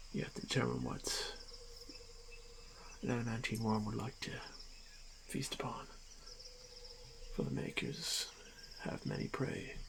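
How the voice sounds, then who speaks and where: A man voicing a stoic, protective orc warrior in a fantasy ASMR roleplay, speaking in terse, measured phrases. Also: mid-range voice, gravelly, background noise. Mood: matter-of-fact, explaining. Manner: measured, halting, terse phrases with solemn pauses.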